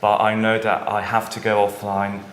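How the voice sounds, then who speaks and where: Man from switzerland, thirties, low voice, reflective guest, echoey room with faint ambience. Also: completely flat delivery, normal speed, fairly bright tone, slight background noise. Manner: thoughtful, with frequent fillers and tag questions. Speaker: startup founder and CEO guest. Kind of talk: conversational interview answers.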